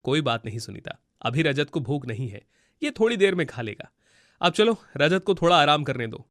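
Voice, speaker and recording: A man plays a poor, troubled farmer from a cartoon tale. His voice is fairly high and dryly recorded, with an earnest, pleading manner and a gentle fatherly temper. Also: big pitch swings, normal speed, no room sound, studio quality. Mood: consoling.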